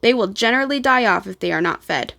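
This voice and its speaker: A woman reads the part of a kindly old grandfather from a children's storybook. He is gentle and knowing, talking to children in old-fashioned, explanatory sentences. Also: medium pitch, expressive, fast, vocal fry. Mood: explaining.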